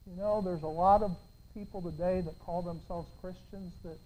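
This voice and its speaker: A woman preaching a sermon, quoting scripture with emphatic, dramatic pauses and swings from hushed to loud. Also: on the low side, fairly even intonation, poor audio. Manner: repeats phrases for emphasis.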